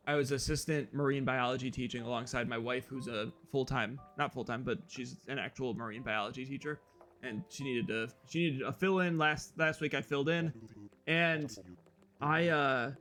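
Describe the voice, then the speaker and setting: A young man with a loud, slightly hoarse voice, rambling casually to his viewers as a live streamer while he plays a game. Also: medium pitch. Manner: loud, rambling, talks straight to chat. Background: faint guitar music.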